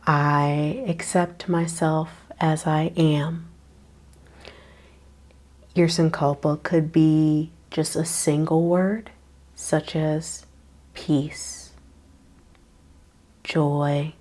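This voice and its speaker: A woman leads a guided meditation in a very slow, unhurried voice with long pauses between phrases. Her tone is low and mellow, and her words often fade into a breathy hush. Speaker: yoga nidra teacher.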